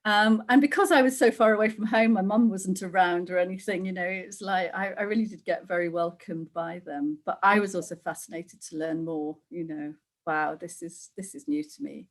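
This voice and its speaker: A woman's voice: on the low side, a little fast, hardly any pauses. A middle-aged woman from Wales, a guest who chats casually, laughs often and acts out the voices she quotes. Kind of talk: casual conversational interview.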